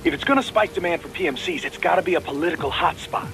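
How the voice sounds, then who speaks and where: High-pitched, sceptical analyst. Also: male voice, fast, vocal fry, fairly bright tone, soft background music. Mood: sceptical, concerned. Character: sceptical, analytical male support teammate, earnest. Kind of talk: dramatic video game acting.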